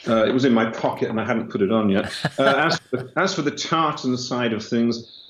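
A man's voice: mid-range voice, brisk, fairly bright tone. A man in his fifties from London with an English accent, answering as an interview guest. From London, England. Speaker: guest, church historian. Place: indoor room with long table. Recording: roomy, dull, noisy remote link.

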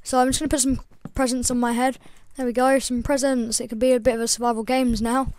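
A chatty young woman with a croaky voice talks through a game update, rambling and filling pauses with ums. Her voice sits fairly high, and the recording is dry and close. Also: flat delivery, darkish tone, dry recording. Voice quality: slightly croaky. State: croaky, voice breaking. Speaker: gaming channel host. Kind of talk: casual game tutorial voice-over.

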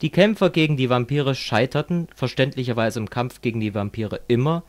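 A man's voice: mid-range voice, brisk, close to an everyday voice. A man playing a fervent communist who lectures a friend in a didactic, declamatory way, as in an amateur radio play. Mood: fervent, sardonic.

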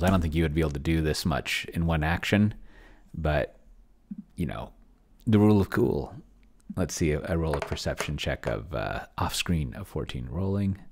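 A middle-aged man giving casual live commentary as he types and reads aloud, thinking out loud and trailing off, close on a desk microphone. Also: rumbling low. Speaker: solo role-player and streamer demonstrating AI game mastering. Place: small indoor room. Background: computer keyboard typing.

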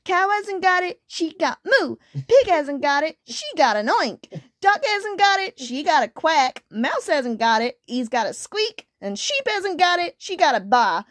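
A woman's voice: extremely high pitch, lively intonation, unhurried, dry recording, studio quality, voice pushed up. A woman voicing a tearful, anxious little kitten from a storybook, with a plaintive, wailing manner.

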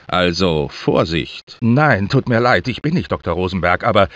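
An actor playing an anxious, learned research scientist in a video game, speaking in a formal, precise, explanatory manner. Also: male voice, low-pitched, lively intonation, moderate pace, fairly bright tone, lo-fi sound. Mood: apologetic. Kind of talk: scripted video game dialogue.

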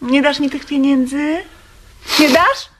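An actress playing an impatient, money-hungry wife in a radio comedy, pushy, sarcastic and exasperated. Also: female voice, sits high, fairly even intonation, takes it slowly. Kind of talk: radio drama acting, domestic comedy.

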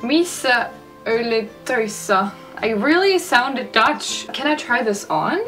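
Young German-accented woman, chatty and self-mocking vlogger, slightly off-mic with soft music behind. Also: fairly high pitch, expressive, far from the mic. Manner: chatty, self-mocking, sounds out words slowly. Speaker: YouTuber. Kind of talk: casual language-learning vlog. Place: bedroom, indoors. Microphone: studio mic off camera.

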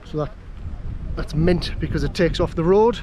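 A man's voice: a little high, moderate pace, mellow. A man with a North East English Geordie accent chatting casually as he vlogs a camping trip outdoors. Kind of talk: casual outdoor vlog chat. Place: outdoors on windy open hills. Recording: wind-buffeted outdoor camera audio.

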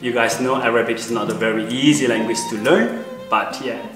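A man's voice: medium pitch, steady tone, a little fast, over music. A man in his forties from Indonesia, hosting his channel with earnest, slightly formal explanations. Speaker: host. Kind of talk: talking to camera, then interviewing. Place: outdoors in a park, then indoors on a sofa.

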